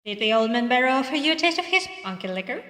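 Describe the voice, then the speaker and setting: A woman voicing a chatty, jovial local man in a detective visual novel, with an animated, teasing manner. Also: a little high, fast talker. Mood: cheerful, teasing. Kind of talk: visual novel character acting. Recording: distant mic, echoey reverberant sound.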